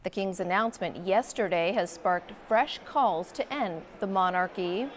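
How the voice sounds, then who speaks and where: A woman's voice: medium pitch, measured pace, slight background noise. A woman reading scripted television news as the anchor.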